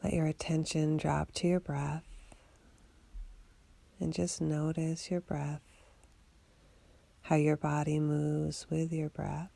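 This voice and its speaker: A woman leads a guided relaxation in a low voice with very heavy creak. She gives gentle instructions without hurry, soothing and steady. The delivery stays very even in pitch. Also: takes it slowly, pauses often, no room sound. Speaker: meditation guide. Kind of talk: guided body scan meditation for sleep.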